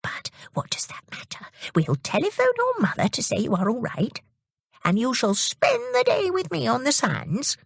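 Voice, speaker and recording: A woman voicing a flustered, kindly old lady in a children's story, with a high, quavering, fretful voice. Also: huge pitch swings, moderate pace, creaky, slightly rough, dry recording, clean studio sound, exaggerated voice. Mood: comforting.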